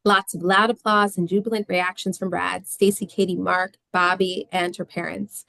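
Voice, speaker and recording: Creaky-voiced woman reading stage directions. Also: fairly low pitch, flat delivery, normal speed, pushed and bright, no room sound. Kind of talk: scripted narration.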